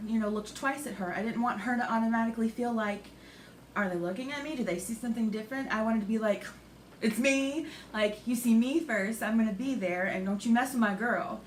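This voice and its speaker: A supportive, giggly woman guest in casual chat, chiming in with encouragement. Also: fairly high pitch. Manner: supportive, giggly, talks fast. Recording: echoey room with faint noise.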